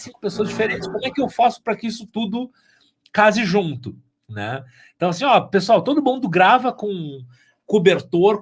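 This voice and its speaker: Animated man with a high-pitched voice. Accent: southern Brazilian. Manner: animated storyteller, acting out quoted remarks. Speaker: sound engineer and mixer on an audio drama. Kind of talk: casual interview talk.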